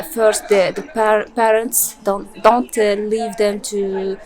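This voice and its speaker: Young Moroccan woman speaking slow, hesitant English. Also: medium pitch, low-quality recording. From rural Morocco. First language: Berber. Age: 20s. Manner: hesitant, with many fillers and restarts. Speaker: interviewee and volunteer. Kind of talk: interview answers.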